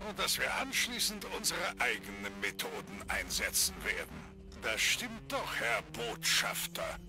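A man's voice: on the low side, huge pitch swings, unhurried, full character voice. An actor voicing a menacing male villain leader in a video game, falsely polite and threatening. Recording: game audio over background music.

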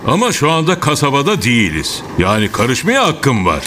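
A man's voice: medium pitch, wildly swooping pitch, normal speed, a little hiss or hum. An actor voicing a stern, protective old grandfather in a cartoon dub, speaking firmly and emphatically. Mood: firm, defiant. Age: older. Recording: loud orchestral music behind.

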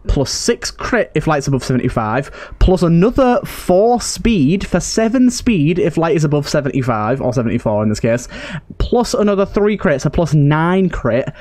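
Higher-pitched man doing game commentary. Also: very animated, normal speed, a little mellow. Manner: thinks aloud, repeats himself. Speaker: gaming video host. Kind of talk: casual let's-play commentary.

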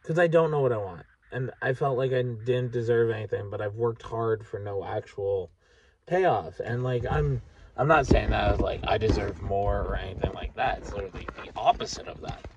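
A man of about thirty, talking frankly and haltingly in a sweary, candid personal vlog monologue. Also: lowish voice, recorded from a distance, lo-fi sound, noisy background. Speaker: vlogger. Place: inside a parked vehicle at night.

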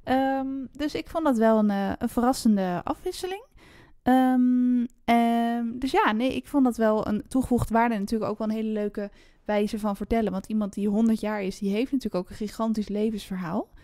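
Nederlandse vrouw met zachte, warme stem. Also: female voice, fairly high pitch, moderate pace, no echo, studio quality. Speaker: podcast co-host. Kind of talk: casual book discussion.

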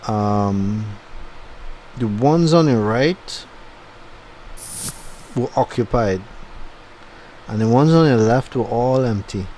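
A man's voice: medium pitch, slow, long pauses, dark tone, a little hiss or hum, poor audio. A middle-aged man giving a casual, rambling voice-over commentary on his own driving video, thinking aloud with fillers. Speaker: YouTube channel host. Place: home, recorded after the drive.

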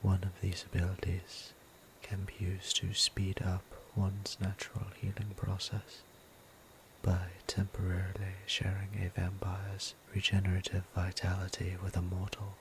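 A man playing a gentle, courteous vampire priest in an ASMR roleplay, speaking softly and breathily in a formal, soothing way. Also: sits low, completely flat delivery, slight background noise, low-quality recording. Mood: earnest, hesitant. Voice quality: soft, breathy near-whisper. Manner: slow, deliberate, formal, soothing. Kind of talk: intimate ASMR roleplay acting.

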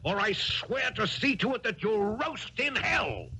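An actor playing a menacing, bullying doctor in a 1970s radio drama, blustering and threatening. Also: male voice, high voice, sing-song, unhurried. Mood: furious, threatening. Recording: hissy 1970s radio broadcast.

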